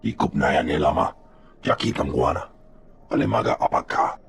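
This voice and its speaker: An actor voicing a male alien analyst in a video game, speaking guttural invented syllables in short phrases with a gravelly voice. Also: a bass voice, lively intonation, moderate pace, faint music behind, exaggerated voice. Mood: businesslike. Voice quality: gravelly, creaky.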